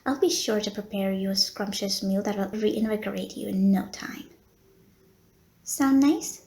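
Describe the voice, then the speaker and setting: Unhurried, courteous lady vampire nurse, acted by a woman. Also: medium pitch, gravelly, darkish tone. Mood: flirty, pleased. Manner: genteel, formal wording with coy hesitations. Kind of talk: roleplay audio acting, addressed to the listener.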